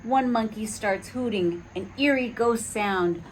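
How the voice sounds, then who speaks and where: A woman reading a picture book aloud to preschoolers in an animated way, stopping to ask the children questions. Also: medium pitch, measured pace, a little hiss or hum, low-quality recording. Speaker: children's storyteller. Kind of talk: read-along for preschoolers.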